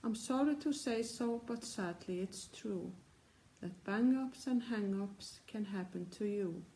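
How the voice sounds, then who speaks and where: A woman's voice: mid-range voice, unhurried, mellow, a little hiss or hum, poor audio. A woman reading a rhyming picture book aloud. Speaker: volunteer reader.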